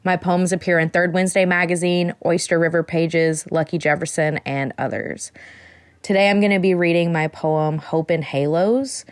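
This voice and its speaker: A woman's voice: fairly low pitch, normal speed, no echo. American woman poet, dry close sound. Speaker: poet and editor. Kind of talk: personal introduction to a poetry reading.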